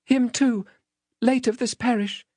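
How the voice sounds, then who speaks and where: Storybook child: scared small boy, high voice, meek, polite, pleading. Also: fairly even intonation, measured pace, darkish tone, no echo. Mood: timid. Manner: timid, pleading, deferential. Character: small terrified polite young village boy.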